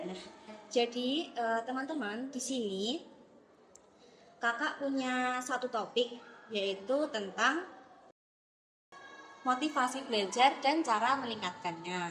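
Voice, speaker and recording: A woman's voice: fairly high pitch, slow, pauses often, a bit bright, slight background noise, rough recording. A young woman, a counseling student, leading a group guidance session in a classroom, friendly and encouraging with many fillers. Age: young adult.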